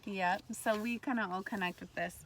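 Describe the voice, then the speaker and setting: A woman's voice: mid-range voice, fairly even intonation, normal speed, slight background noise. A woman teacher leading a read-aloud lesson for young children, explaining things simply and prompting her listeners. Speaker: elementary school teacher.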